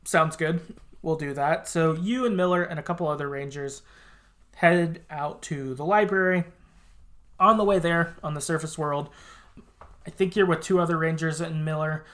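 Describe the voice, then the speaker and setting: A man's voice: on the high side, moderate pace, a bit bright. A man hosting a podcast, chattily recapping a story in informal, sometimes sweary language with loose colloquial asides. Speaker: podcast host. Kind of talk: casual chatty retelling of a game's story.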